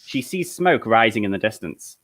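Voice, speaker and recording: A man's voice: on the low side, lively intonation, normal speed, fairly bright tone. A man reading stage directions as scripted narration at a comedic table read.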